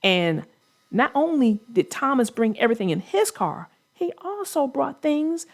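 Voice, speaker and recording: Unhurried, higher-pitched woman hosting children's story chat. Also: big pitch swings. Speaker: host and children's book narrator. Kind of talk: casual discussion of a children's story for young listeners.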